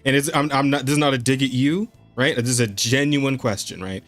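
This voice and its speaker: Young guy podcast host on a discord call, rambly, keeps tripping over his words, soft music behind. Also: mid-range voice, steady tone, a little fast. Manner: rambling, repeats words, laughs often. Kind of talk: casual podcast chat.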